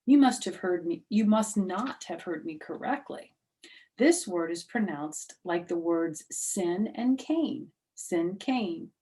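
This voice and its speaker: A woman's voice: fairly low pitch, expressive, slow, warm tone. A woman voicing a patient male elementary school teacher in a storybook, instructive, polite but firm. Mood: apologetic, firm.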